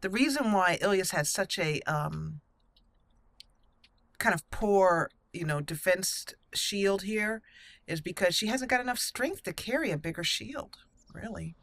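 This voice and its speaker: Bright-voiced woman gaming host. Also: medium pitch, expressive, unhurried, no room sound. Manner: chatty, thinking aloud. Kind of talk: casual let's play commentary.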